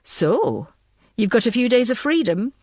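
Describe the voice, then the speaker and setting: A woman voicing an anxious, wry detective in an audiobook, animated and given to exclaiming. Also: on the high side, unhurried, husky, dry recording, studio quality, full character voice. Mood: familiar, teasing.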